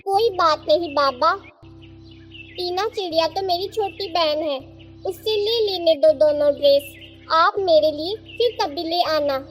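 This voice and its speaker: A woman's voice: extremely high pitch, takes it slowly. A woman voicing a young bird daughter in a childlike way for a cartoon story. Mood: gentle. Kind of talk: Hindi cartoon story dialogue.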